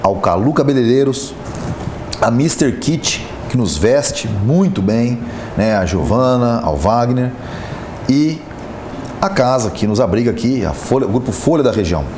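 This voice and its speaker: A man hosting a casual business talk show, chatty and lively as he introduces the guest and plugs sponsors. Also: medium pitch, a little slow. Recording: echoey studio with background noise.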